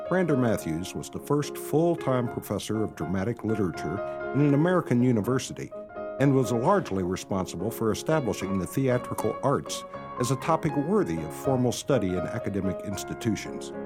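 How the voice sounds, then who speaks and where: Male radio drama announcer, formal scripted delivery, expressive intonation, music bed underneath. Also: medium pitch, brisk. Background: prominent music.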